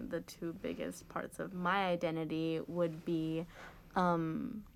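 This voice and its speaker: A young woman, a guest on a student podcast, chatting casually with lots of "like" and "um" and an easy laugh. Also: fairly low pitch. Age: young adult. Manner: casual, heavy use of "like" and "um", laughs easily. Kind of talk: casual panel discussion.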